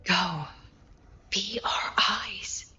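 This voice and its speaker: Weary professor woman, soft breathy voice, mid pitch, subdued, pensive, game dialogue over music. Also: very slow, highs cut off, heavily acted. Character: weary, gentle, brooding woman scientist and professor. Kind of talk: video game voice acting.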